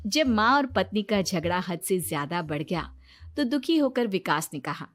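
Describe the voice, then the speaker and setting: A woman's voice: medium pitch, animated, moderate pace, soft background music. A woman narrating a scripted cartoon story.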